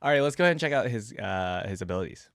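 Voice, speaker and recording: A man's voice: lowish voice, expressive, very fast, a bit bright, no echo, studio quality. A man giving casual reaction commentary, thinking aloud in short musing remarks. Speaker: reaction video host.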